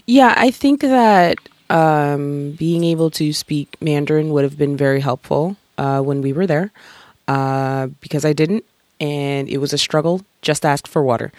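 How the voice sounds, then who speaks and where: A podcast host chatting casually, lively and talkative, swearing casually and acting out quotes. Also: medium pitch, measured pace, dry recording. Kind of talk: casual interview chat.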